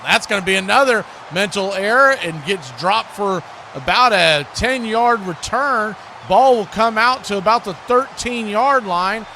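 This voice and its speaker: A man doing live football play-by-play in an excitable, conversational way, like a watch party host. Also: high-pitched. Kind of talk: live football commentary and fan chat. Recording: clearly noisy live stream.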